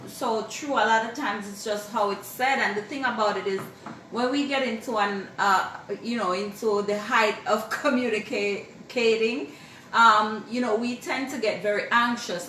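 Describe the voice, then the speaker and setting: A woman's voice: a little high, moderate pace, pushed and bright. Woman, co-host, chatty and emphatic, circles back to her points; distant mic in a roomy space. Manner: conversational and emphatic, repeats points. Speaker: co-host, married partner. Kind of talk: casual chat on marriage advice. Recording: roomy echo, distant mic, faint noise.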